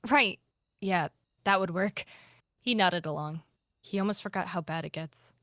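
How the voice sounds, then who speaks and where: A woman narrating her character in an animal roleplay in a quiet, plain way. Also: lowish voice, unhurried, no echo, clean studio sound. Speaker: roleplay player.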